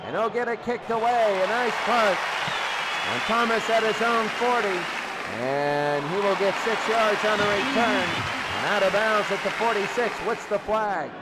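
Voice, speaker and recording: A man calling football play-by-play in radio commentary style, excitable and repeating phrases for emphasis. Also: high-pitched, measured pace. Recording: loud crowd and noise behind.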